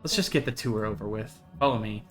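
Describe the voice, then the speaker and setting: A man's voice: lowish voice, fast, fairly bright tone, faint music behind, voice pushed down, natural voice. A man voicing a curt, haughty woman from the country in a visual novel, clipped, dry and condescending. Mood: curt, bossy. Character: curt, haughty, possessive country woman showing a guest around. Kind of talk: reading visual novel dialogue aloud.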